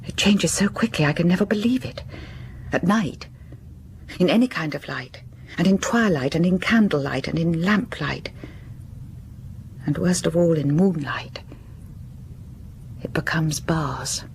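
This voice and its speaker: An actress playing a secretive, obsessive confined wife sliding into madness in a gothic audio drama monologue, halting and often slipping into breathy whispers. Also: female voice, sits low, a little hiss or hum. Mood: obsessed, haunted.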